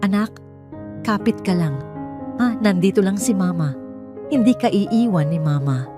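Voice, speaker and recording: A woman's voice: mid-range voice, normal speed, music in the background, lightly acted. A woman voicing an anguished, tender single mother pleading for her sick child in an audio drama. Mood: tender, tearful. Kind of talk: Tagalog audio drama storytelling.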